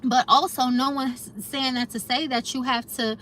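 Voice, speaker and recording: Chatty American woman, higher voice. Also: steady tone, moderate pace, fairly bright tone, slight background noise. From United States. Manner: chatty, addresses viewers as y'all, reflective. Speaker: lifestyle vlogger. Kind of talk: casual chat to viewers.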